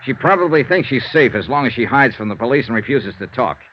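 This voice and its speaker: A man's voice: medium pitch, expressive, fast, lightly acted. An American man acting a tough, wisecracking insurance investigator in a 1950s radio drama, clipped and sardonic, with hardboiled narration. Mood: hard, threatening. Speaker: narrator and lead actor. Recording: muffled, noisy vintage radio recording.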